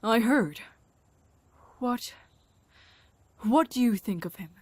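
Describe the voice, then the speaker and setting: A woman's voice: on the high side, expressive, slow, a little mellow, dry recording. A woman playing a weary, sympathetic comrade, casual and a little hesitant, in a conversational audio drama.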